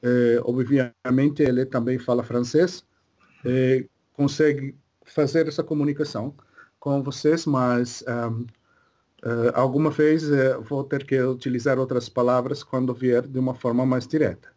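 Man, medium voicing a spirit guide, hesitant, pauses to find words, even middle pitch. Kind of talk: slow halting spiritual talk.

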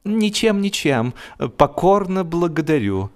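Man voicing a timid, high-pitched stammering little dog. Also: big pitch swings, unhurried. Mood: nervous, apologetic. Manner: stammering, apologetic, nervous. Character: timid, intimidated little dog, flustered and over-polite.

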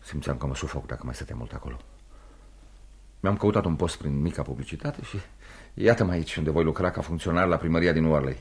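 An actor playing an ambitious, wry young war veteran and clerk with a warm, deep voice, in Romanian radio theatre. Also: male voice. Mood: bitter, reflective. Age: young adult.

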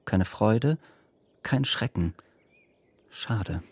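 A man's voice: fairly low pitch, animated, extremely slow, husky, dry recording. A man narrating a literary radio drama from a script.

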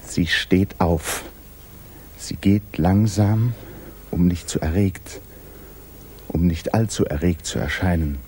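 An actor narrating a 1950s literary radio drama as a grave, intimate storyteller with measured, dramatic delivery. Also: male voice, deep voice, a little mellow, a little hiss or hum. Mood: measured, suspenseful. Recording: 1950s radio recording, music behind.

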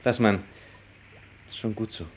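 A man's voice: fairly low pitch, steady tone, unhurried, slightly rough. An actor playing a weary, morbid, resigned man in a radio play, subdued and terse.

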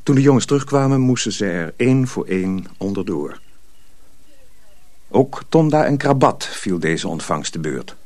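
A man's voice: fairly low pitch, a little mellow, no room sound. A man narrating a radio drama as a storyteller, reading scripted prose dramatically.

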